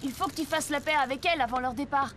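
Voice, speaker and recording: A woman's voice: high voice, fairly even intonation, fast, background noise, close to an everyday voice. A woman voicing an earnest, peacemaking young ninja school pupil in a French cartoon dub.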